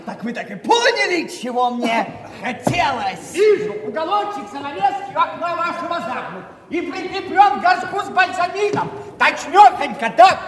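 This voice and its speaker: A gushing, sentimental clerk in a stage drama, his voice pitched extremely high and exclamatory. He sounds elated and doting, set back from the microphone in long, ringing reverb. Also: sing-song, moderate pace, hardly any pauses, a bit bright, a little hiss or hum, exaggerated voice. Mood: elated, playful. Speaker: stage actor. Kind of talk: theatrical reading aloud of a letter. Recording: echoey hall, long reverb, distant from microphone.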